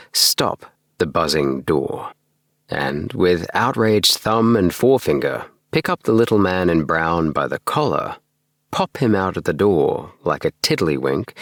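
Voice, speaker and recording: A man's voice: deep voice, a bit bright, no echo, clean studio sound. A man reading a bedtime story as scripted audiobook narration, recorded in a small home booth. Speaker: audiobook narrator for sleep stories.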